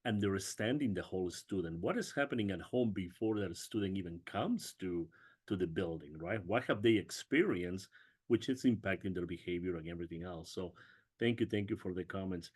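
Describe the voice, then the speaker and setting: A man's voice: deep voice, animated, fairly quick, darkish tone, breathy edge. A man from Peru moderating a web briefing over a video call, courteous and thanking each speaker. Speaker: committee chair moderating.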